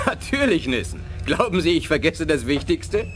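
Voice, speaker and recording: An actor playing an eager, urgent teleporting space agent in a science fiction radio play, with a hurried, excitable manner. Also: male voice, a little high, slight background noise. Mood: confident, amused.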